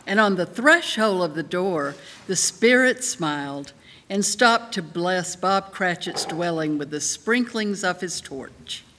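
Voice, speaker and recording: Expressive, unhurried woman narrator. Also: lowish voice, a little hiss or hum. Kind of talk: dramatic reading of a Victorian tale. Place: live in a church. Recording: reverberant hall.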